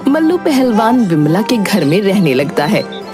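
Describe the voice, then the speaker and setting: A woman's voice: medium pitch, very animated, unhurried. A woman storyteller reading a scripted folk tale narration. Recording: music behind the voice.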